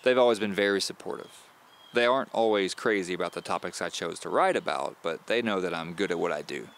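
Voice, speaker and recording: A man's voice: deep voice, fairly quick, vocal fry, fairly bright tone. A man playing a polite, wry thriller novelist in an audio drama, courteous and dryly humorous. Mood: modest. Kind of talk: audio drama first-person storytelling.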